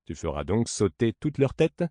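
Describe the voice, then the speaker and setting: A man plays a wry, sceptical manservant in a classic play, needling with sly sarcasm. The voice is on the low side with lively swings of pitch, in a very dry recording. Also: moderate pace, dry recording. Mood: sarcastic teasing. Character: Grégoire, mocking, sly, sceptical male servant.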